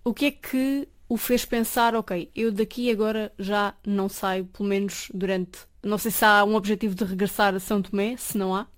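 A woman interviewer in an even, mid-range voice asks probing questions. She keeps restating what her guest has just told her. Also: medium pitch, normal speed, few pauses. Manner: probing, paraphrases answers back.

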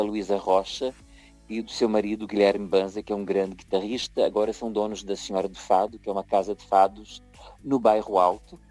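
Low, mellow-voiced Brazilian man. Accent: Brazilian Portuguese, partly picked up in Portugal. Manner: hesitant, many fillers and restarts. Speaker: interview guest, association leader and fado singer. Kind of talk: broadcast interview conversation.

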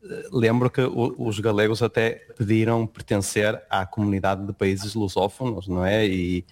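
A man from Portugal, a university lecturer answering interview questions in a relaxed, conversational way with frequent restarts. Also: on the low side. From northern Portugal. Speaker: guest, university lecturer living abroad.

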